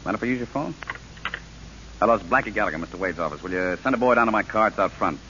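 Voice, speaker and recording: Hissy old radio, a slangy wisecracking gangster, quick and punchy, 1940s drama style. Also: male voice, lowish voice, lively intonation, sharp tone, dull audio. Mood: cool, casual. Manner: slangy, nonchalant tough-guy talk. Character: breezy, wisecracking male gangster, loyal but unrepentant.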